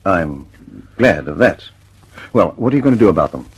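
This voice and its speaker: Husky, stubborn British inventor. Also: male voice, low voice, big pitch swings, moderate pace, exaggerated voice, low-quality recording. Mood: polite, pressing. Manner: emphatic, precise, insistent. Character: earnest, stubborn, impatient male British inventor. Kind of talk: radio drama acting.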